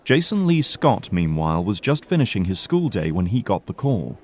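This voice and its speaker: A man's voice: on the low side, very animated, moderate pace, a little mellow. A man narrating an audio drama in a scripted storytelling style.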